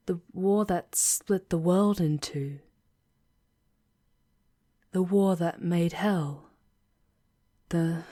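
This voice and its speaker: A woman playing a charming, poised female demon guide in an intimate ASMR roleplay, speaking in a measured way with breathy, hushed touches. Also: fairly low pitch. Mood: grave, hushed. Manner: measured, deliberate, often dropping into breathy whispers.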